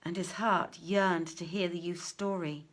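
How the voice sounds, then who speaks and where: Measured woman storyteller, deliberate and literary. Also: lowish voice, steady tone. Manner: measured, deliberate phrasing with dramatic pauses. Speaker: storyteller reading aloud. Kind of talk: expressive literary storytelling, old-fashioned prose read aloud.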